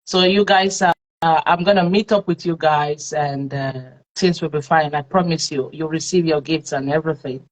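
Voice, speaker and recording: A woman's voice: low voice, moderate pace, low-quality recording. A Nigerian woman hosting a live-streamed talk show, chatting with followers and leaning on plenty of fillers. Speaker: host.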